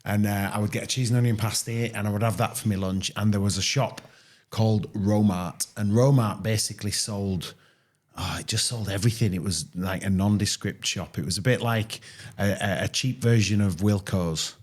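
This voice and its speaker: Low-voiced Yorkshire man telling stories. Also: normal speed. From East Leeds, England. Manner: friendly, relaxed storytelling with fillers. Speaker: podcast guest. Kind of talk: casual interview storytelling.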